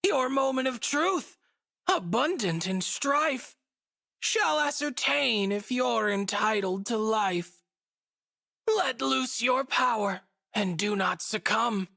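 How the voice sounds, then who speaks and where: An actress playing a cryptic, mocking guide in a video game, speaking archly and theatrically in rhyme. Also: female voice, on the high side, lively intonation, slow, vocal fry, bright, forceful tone, full character voice. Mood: amused, ominous.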